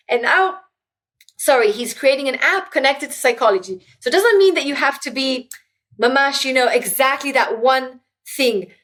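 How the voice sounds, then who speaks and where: A woman's voice: a little high, fairly bright tone. A middle-aged woman whose first language is Hebrew, talking earnestly as an interview guest and often restarting her sentences. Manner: earnest, many restarts and fillers. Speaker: guest, author. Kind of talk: reflective interview talk.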